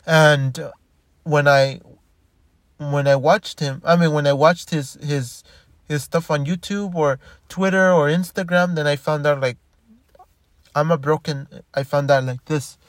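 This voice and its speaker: A man's voice: a little high, steady tone, measured pace, no echo. A man talking casually in a rambling monologue, restarting and repeating his words often. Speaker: commentator.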